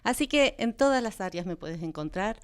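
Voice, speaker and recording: A middle-aged woman from Argentina with an Argentine Spanish accent, a native Spanish speaker, answering interview questions in a soft-spoken, hesitant way. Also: medium pitch, lively intonation, quick pace, no room sound, studio quality. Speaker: guest real estate agent. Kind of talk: interview answers switching between Spanish and English.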